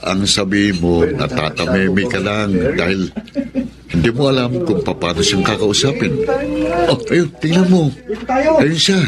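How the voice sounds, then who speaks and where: A man's voice: lowish voice, lively intonation, a little slow. An actor in a Tagalog radio drama playing a chummy male friend who teases and prods. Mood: prodding. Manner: chummy, teasing, prodding. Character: teasing, encouraging male friend of a lovesick man. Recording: crowd chatter behind.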